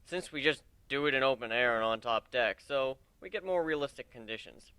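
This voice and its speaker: A man's voice: medium pitch, a little fast, sharp tone, clear, resonant tone, no echo. A man giving an amateur dramatic reading of a first-person story.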